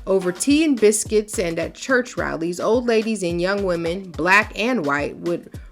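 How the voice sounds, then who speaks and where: A woman reading a picture book aloud for children in an expressive, folksy storyteller's way, then talking to her young viewers. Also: fairly low pitch, a little slow, faint music behind.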